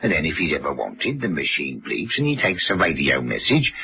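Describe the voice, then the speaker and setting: A man's voice: a bass voice, huge pitch swings, brisk, gravelly, background noise, exaggerated voice. An actor playing an affable, evasive English businessman in a radio drama, effusive and plummy, repeating his words as he digresses. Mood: obliging. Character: affable, fussy, evasive gentleman proprietor of an answering service.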